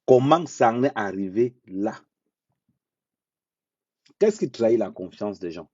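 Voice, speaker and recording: Slow, dark-voiced blunt man. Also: lowish voice, animated, husky, dry recording. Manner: blunt, repeats phrases for emphasis, slow deliberate delivery. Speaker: social media commentator. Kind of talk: unscripted live monologue. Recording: dull lo-fi dry sound.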